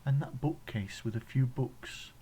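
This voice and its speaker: A man with an English accent leading a guided meditation in a gentle, soothing, instructional way, leaving pauses between phrases. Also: mid-range voice, slightly rough. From England.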